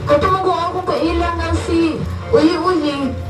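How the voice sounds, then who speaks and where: A loving, loyal young girlfriend with a Visayan accent, played by a woman, speaks in a high-pitched voice. It is radio drama dialogue, echoey and set back from the mic, with music behind. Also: steady tone, slow, far from the mic. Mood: teasing, jealous. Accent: Filipino, Visayan.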